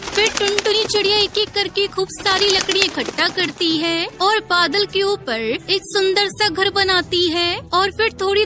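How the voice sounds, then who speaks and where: A woman narrating a scripted children's moral story. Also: very high-pitched.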